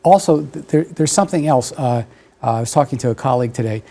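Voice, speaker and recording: A man in his sixties whose first language was German, talking in a studio interview, halting and thoughtful with many fillers and restarts, on a lapel mic. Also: mid-range voice, warm tone. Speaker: author and guest. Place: dark television studio.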